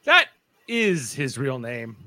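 A man's voice: medium pitch, huge pitch swings, slow, bright, forceful tone, no echo, heavily acted. A man acting an uptight, stern young superhero team leader in a live radio play, commanding and insistent.

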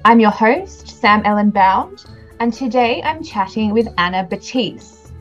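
A woman's voice: on the high side, expressive, a little slow, lo-fi sound, faint music behind. A woman hosting a podcast interview about books in a chatty style. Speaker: podcast host. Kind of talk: podcast interview, warm book chat.

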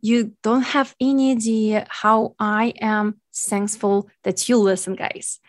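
A woman's voice: medium pitch, fairly even intonation, takes it slowly, dry recording, studio quality. A woman from Ukraine with a Ukrainian accent, speaking English as a second language, hosting her own podcast as a nutrition coach in an earnest, thankful way. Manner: earnest, grateful, non-native phrasing. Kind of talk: solo podcast monologue.

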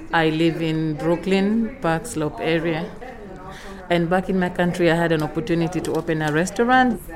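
A woman from Kenya, a culinary student, chatting eagerly and laughing now and then. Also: sits low. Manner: warm, eager chat with laughs.

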